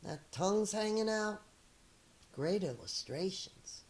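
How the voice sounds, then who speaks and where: A middle-aged woman, a teacher reading a children's picture book aloud in a deliberate, expressive storytelling manner with chatty asides. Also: medium pitch, slight background noise.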